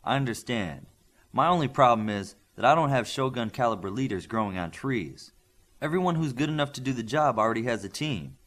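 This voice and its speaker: A man voicing an assured, measured veteran combat instructor in an audiobook. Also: mid-range voice, lively intonation, a bit bright, natural voice.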